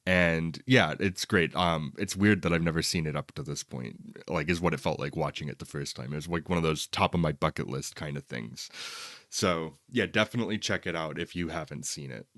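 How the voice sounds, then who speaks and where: A man's voice: very deep voice, a little fast, a bit bright, no echo, studio quality. A man co-hosting a casual film chat, talkative and opinionated with a wry streak. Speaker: podcast co-host. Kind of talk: casual, conversational movie chat.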